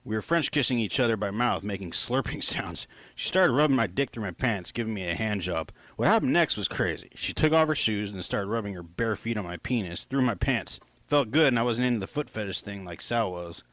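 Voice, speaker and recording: A man with a fairly low voice narrates a first-person story as an audiobook. His delivery is plain and informal, like an author reading his own work. The sound is dry and close, with little room around it. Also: animated, a little fast, a bit bright, no room sound.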